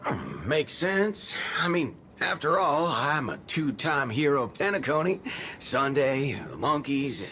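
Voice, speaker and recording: A cocky cowboy outlaw from a video game, bright gritty swagger, swingy folksy slang, music behind. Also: male voice, on the high side, very animated, unhurried, slight background noise, exaggerated voice. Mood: casual, wry. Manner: folksy slang with softened swear words. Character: cocky drawling cowboy gunslinger outlaw, playful and brash. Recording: game dialogue over music.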